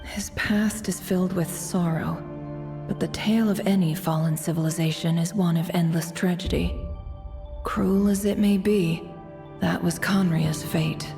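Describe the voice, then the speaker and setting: Low-toned, sardonic haughty boss woman. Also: steady tone, a little slow, gravelly, over music. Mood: weary, contemptuous. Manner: arch, teasing, self-satisfied. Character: sardonic, proud, lazy young woman boss, theatrically haughty. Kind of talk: English video game dub acting.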